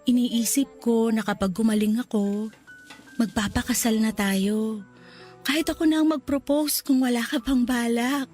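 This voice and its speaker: A woman playing a gravely ill young woman in a sentimental radio romance drama, loving, earnest and resigned to dying. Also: a little high, fairly even intonation, moderate pace, a little mellow, lightly acted. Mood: tender. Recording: music under the voice.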